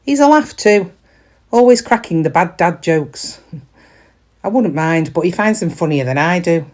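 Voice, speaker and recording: Woman acting middle aged british shop lady doing a monologue, lowish voice that moves about a lot, wry n confiding, bit weary. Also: lively intonation, unhurried, darkish tone. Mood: wry, chatty. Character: chatty middle-aged woman shop worker, kind but quietly weary. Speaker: actor in a dramatic monologue. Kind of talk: conversational dramatic monologue.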